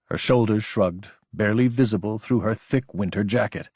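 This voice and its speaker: A man's voice: fairly low pitch, normal speed, darkish tone, husky, no echo, clean studio sound. A man narrating scripted dramatic fiction as an audiobook narrator.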